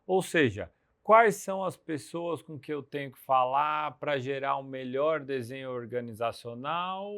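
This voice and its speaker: A man hosting a business podcast in a lively conversational tone. His voice is of middling pitch for a man. He leads the talk with open questions and quick asides. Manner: energetic, conversational, leading questions. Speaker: podcast host. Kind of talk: podcast interview.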